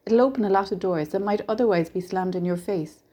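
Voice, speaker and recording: A little stranger with a peddler's patter, voiced by a woman reading a story. He is kindly and mysterious, coaxing with patient good cheer in a soft, mellow tone. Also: medium pitch, brisk, natural voice. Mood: patient, persuasive. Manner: patient, coaxing, brightly reassuring.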